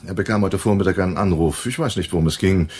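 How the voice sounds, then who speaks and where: An actor playing a suave, evasive violin dealer in a German radio crime drama, speaking deliberately with a husky voice. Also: male voice, low voice, darkish tone. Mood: cool, evasive. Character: smooth, self-assured shady violin dealer, adult man.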